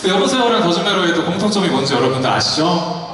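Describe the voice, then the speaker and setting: A man's voice: high-pitched, lively intonation, fast talker, noisy background. A young man in his twenties, the youngest member of an idol group, bantering cheekily onstage at a concert. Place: large arena stage. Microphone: handheld mic. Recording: echoey arena from far off, loud crowd. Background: loud cheering crowd.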